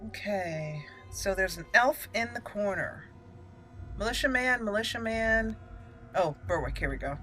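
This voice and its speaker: Bright-voiced woman doing game commentary. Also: medium pitch, lively intonation, a little slow, faint music behind. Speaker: gaming video host.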